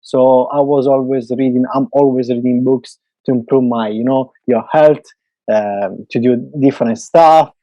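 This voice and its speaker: A man's voice: mid-range voice, steady tone, measured pace, no echo, dull audio. Eager Italian-accented young man hosting. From Italy. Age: 20s. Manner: eager, talkative, searches for English words. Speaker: podcast host. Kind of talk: casual podcast chat.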